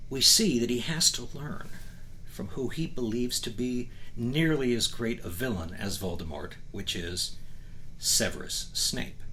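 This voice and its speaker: A man speaking as a host and book reader, expressive and emphatic, with dramatic pauses. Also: mid-range voice, a little hiss or hum, poor audio. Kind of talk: enthusiastic talk to camera and reading aloud from a novel.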